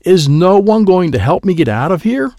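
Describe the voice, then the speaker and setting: A man's voice: mid-range voice, sing-song, moderate pace, gravelly, darkish tone, no echo, clean studio sound. A man voicing a harried father of a young boy, urgent and exclamatory, in audiobook dialogue. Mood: frustrated.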